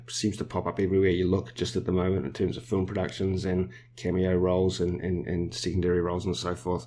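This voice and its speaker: Deep flat-voiced New Zealand man. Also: a little hiss or hum. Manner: measured, explanatory, with small restarts. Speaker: podcast host. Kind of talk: casual podcast discussion.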